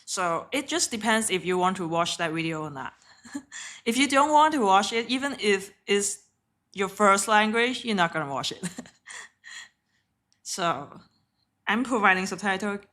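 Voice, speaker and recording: A woman whose first language is Cantonese streams her trades live in a bright, clear voice. She keeps it casual and unpolished, swearing offhandedly and laughing between thoughts. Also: medium pitch, animated, unhurried, pauses often. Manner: chatty and candid, swears offhandedly, often laughs. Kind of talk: casual live trading commentary.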